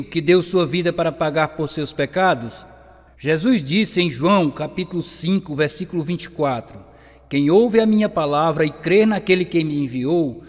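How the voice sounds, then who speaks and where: An actor plays a stern preacher with a rather high male voice, ringing through a long hall echo. He delivers his sermon solemnly and urgently, in radio drama style. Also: darkish tone, lightly acted. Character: stern, solemn preacher urging sinners to be saved.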